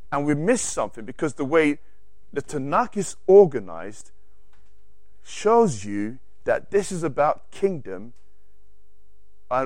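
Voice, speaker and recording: A man's voice: medium pitch, no echo, studio quality. A man with an accent teaching a sermon to a congregation, reading scripture aloud and correcting himself as he goes. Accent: non-native English. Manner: animated, reads scripture aloud, self-correcting asides. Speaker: preacher.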